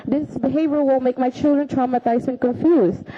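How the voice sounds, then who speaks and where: A woman speaks at a podium, earnest and halting as she reads her prepared words. Her voice sits a little high. The recording is muffled and lo-fi. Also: fairly even intonation. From Alaska, raised in the Philippines. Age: 20s. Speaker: public commenter. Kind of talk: reading a prepared statement. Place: city assembly chamber. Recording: dull lo-fi meeting recording.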